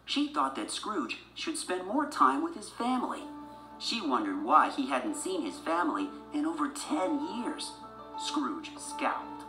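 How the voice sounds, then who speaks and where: A woman narrates a children's story in a low, lively voice. It sounds like a scripted read-along, with music behind her. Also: a little slow, roomy sound, low-quality recording.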